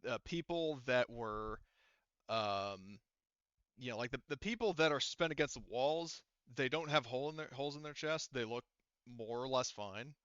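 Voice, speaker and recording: A man narrating a tabletop game as game master, casually and haltingly, with informal, sweary phrasing. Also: mid-range voice, a bit bright, clear, resonant tone, no echo, lo-fi sound.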